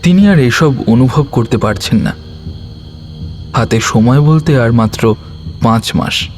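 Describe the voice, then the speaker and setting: Male narrator, medium pitch, for audio horror story. Dramatic, measured reading with pauses, light music bed. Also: expressive, darkish tone.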